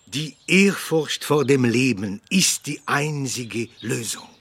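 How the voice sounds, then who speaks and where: An actor playing an earnest Alsatian doctor and humanist in a radio play, speaking colloquial dialect with an Alsatian accent and searching pauses. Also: male voice, mid-range voice, animated, fairly bright tone, slightly rough, exaggerated voice. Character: warm humanist Alsatian doctor and philosopher, argumentative, fond of schnapps.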